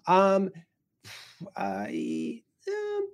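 A man speaking off the cuff in a panel discussion as a guest editor, haltingly and with many broken-off starts. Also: high-pitched, no echo. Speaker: guest editor of a science writing anthology.

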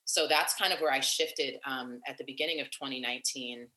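A young woman activist talking in an interview, animated and long-winded with many fillers and restarts. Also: extremely low pitch, fairly quick, reverberant, poor audio. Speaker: activist and nonprofit founder. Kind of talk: casual interview conversation.

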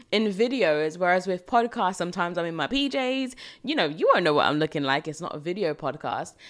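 A woman's voice: lowish voice, a bit bright. A woman in her twenties, raised in Britain after a childhood in Jamaica, hosting a solo podcast Q&A in a chatty, reflective way with rambling asides. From Jamaica, raised in the UK from age seven. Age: 20s. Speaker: podcast host. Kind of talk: solo podcast Q&A, casual chat.

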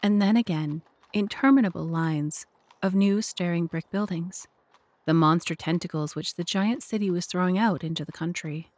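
A woman's voice: on the low side, normal speed, a little mellow, no room sound. A woman narrator and host reading scripted narration for an audio drama.

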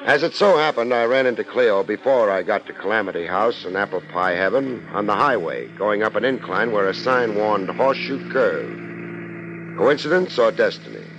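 Wry private eye, lively delivery. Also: male voice, lowish voice, moderate pace, muffled recording. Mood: dry narration. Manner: dry, wry, deadpan. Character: laid-back, wry male private detective. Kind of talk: 1950s radio detective acting and narration. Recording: noisy vintage radio recording.

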